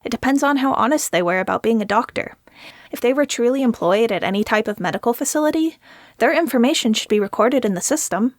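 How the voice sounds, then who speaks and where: A woman's voice: fairly high pitch, animated, fast, no echo, clean studio sound, natural voice. A woman playing an earnest, rule-minded alien student in a sci-fi audio drama, speaking precisely, formally and literally. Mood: earnest, matter-of-fact.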